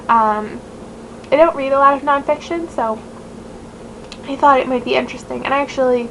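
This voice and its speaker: A nasal-voiced woman in her twenties, chatting casually to camera as a book vlogger, rambling with many fillers. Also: a little high. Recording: noisy home recording.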